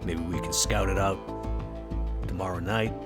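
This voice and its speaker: A man playing a world-weary, casual tough-guy vampire in a tabletop roleplay, talking in a laconic, folksy, halting way. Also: sits low, steady tone, over loud music. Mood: matter-of-fact.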